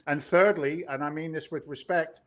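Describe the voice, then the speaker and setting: Loud, blunt man with a dull mic sound. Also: on the high side, fairly even intonation, moderate pace. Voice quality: loud, emphatic. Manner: blunt, swears casually, rising to punchy emphasis. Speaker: podcast co-host. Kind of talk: casual investment chat.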